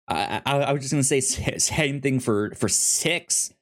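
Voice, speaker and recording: A man co-hosting a casual film discussion, halting and repeating words as he thinks. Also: medium pitch. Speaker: podcast co-host reviewing films.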